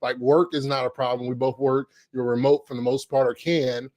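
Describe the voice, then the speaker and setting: A man's voice: medium pitch, little pitch movement, brisk, a little mellow, no echo. A middle-aged man co-hosting a podcast, chatting casually and upbeat, with lots of fillers and restarts, from a home corner on a podcast microphone. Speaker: podcast co-host. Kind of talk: casual chat.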